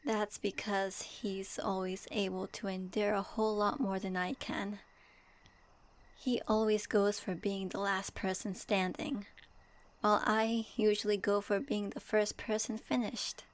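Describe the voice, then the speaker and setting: A woman playing a gentle, thoughtful young swordswoman traveler, speaking softly to the listener in a fan audio roleplay. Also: mid-range voice, level tone, measured pace, natural voice. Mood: wistful, tender. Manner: soft, reflective, addresses listener directly.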